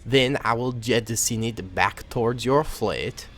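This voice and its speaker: A man voicing a smug, scheming scientist in a storybook war tale, with a put-on foreign accent and drawn-out, mocking delivery. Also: medium pitch, fairly bright tone, slight background noise, pitched below the performer's own voice, lightly acted. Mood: smug, taunting. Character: scheming, smug male scientist defecting from his dark lord.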